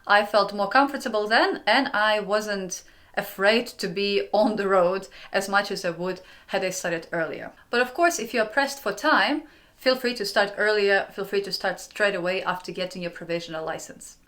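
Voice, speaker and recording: A young woman from Ukraine, hosting her own channel, gives friendly step-by-step advice in a clear, upbeat way. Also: mid-range voice, moderate pace, a bit bright. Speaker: channel host.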